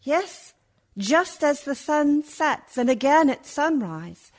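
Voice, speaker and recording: Lady acting haunted young woman in radio play, slowish n dreamy, kinda high voice. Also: very animated, exaggerated voice. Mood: troubled, wondering. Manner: slow, dreamy, dramatic. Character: entranced, haunted young Victorian woman under vampire's spell.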